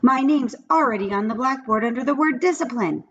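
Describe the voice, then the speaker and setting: A woman's voice: a little high, brisk, breathy edge, highs cut off, pitched above the performer's own voice. A woman voicing a hesitant, anxious schoolboy in a children's story. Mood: reluctant. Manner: hesitant, protesting. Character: anxious schoolboy wrestling with temptation.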